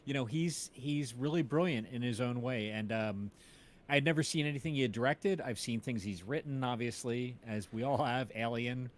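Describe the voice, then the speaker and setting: A middle-aged man in an informal, enthusiastic film chat on a livestream, building his points haltingly as an interviewer. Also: mid-range voice. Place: indoors at home, on a group video call.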